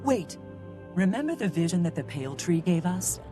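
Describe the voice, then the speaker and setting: Heroic game commander, earnest n direct, high voice for a man, music behind. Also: big pitch swings, normal speed. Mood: focused, encouraging. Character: earnest heroic male commander, loyal and practical. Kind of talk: video game cutscene acting. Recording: voice over loud game music.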